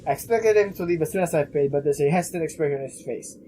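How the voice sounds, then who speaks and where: A young man doing a let's play, haltingly reading game text aloud with casual commentary. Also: a little high, dark tone, a little hiss or hum, poor audio. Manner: halting, stumbles while reading.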